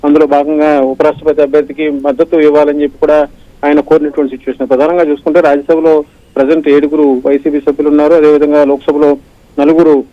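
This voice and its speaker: A male correspondent, giving a live news report in a rapid, flat run with many "aa" fillers. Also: a little high, no room sound. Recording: dry, dull radio-like line.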